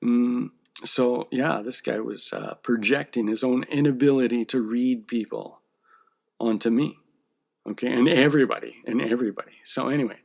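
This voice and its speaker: A man's voice: mid-range voice, moderate pace, gravelly. A man who draws comic books, talking in a casual, rambling monologue that often checks in with "okay?". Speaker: comic book artist.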